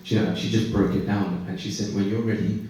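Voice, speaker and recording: A man on a conference panel telling his own story, reflective and pausing mid-thought. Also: sits low, fairly even intonation, mellow. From Britain. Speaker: panelist, care leaver. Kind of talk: personal testimony on a panel. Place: conference hall. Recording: echoey hall, voice far from mic.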